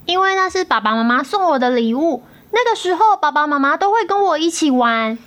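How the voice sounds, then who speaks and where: A woman's voice: sits high, lively intonation, a little fast, a bit bright. A woman acting a sweet, sickly little girl in a radio drama, with simple, childlike, endearing phrasing. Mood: earnest, innocent. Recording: music under the voice.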